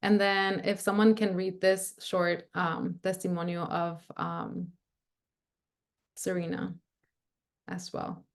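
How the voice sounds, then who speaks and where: Workshop presenter, woman, lowish gravelly voice, casual filler-heavy teaching on a video call. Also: level tone, takes it slowly, pauses often. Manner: casual, frequent "like" and "right?" fillers.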